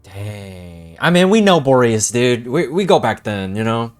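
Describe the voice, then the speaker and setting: A man's voice: mid-range voice, big pitch swings, unhurried. A young man giving casual, slangy, exclamatory live reaction commentary as a game streamer.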